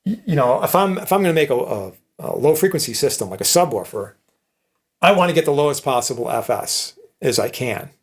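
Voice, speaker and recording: A man's voice: mid-range voice, sing-song, a little fast, breathy edge. A man giving an informal technical lecture in a conversational, explanatory manner, often checking understanding with short tag questions. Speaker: electronics professor.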